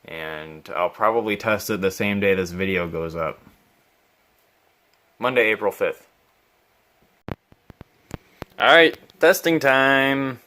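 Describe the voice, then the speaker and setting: Male hobby repairer, lowish pitch, very unhurried pace, casual off-the-cuff narration, halting and musing. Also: animated, bright, forceful tone. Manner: thinking aloud, musing and puzzled, halting. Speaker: hobbyist repairing a vintage radio on video. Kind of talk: casual unscripted workbench commentary.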